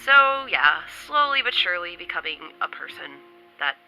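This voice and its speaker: Stuffy-nosed, bright-voiced woman vlogger. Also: fairly low pitch, sing-song, moderate pace, soft background music, low-quality recording. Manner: chatty, upbeat, swears casually. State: stuffy from a cold, occasional coughing. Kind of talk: casual chat to camera. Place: home in New York City.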